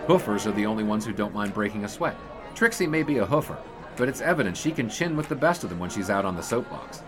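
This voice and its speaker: A man's voice: on the low side, expressive, over music, crowd noise, natural voice. A man playing a sober young sportswriter with a wry, wordy, pedantic manner in a period radio drama. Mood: dryly pedantic. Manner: measured, wordy, dryly pedantic. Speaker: actor in an audio drama.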